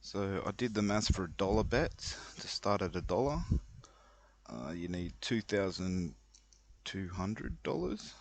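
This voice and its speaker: Low-voiced man in casual commentary. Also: big pitch swings, measured pace. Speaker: online roulette player explaining a betting strategy. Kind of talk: casual screen-recorded commentary.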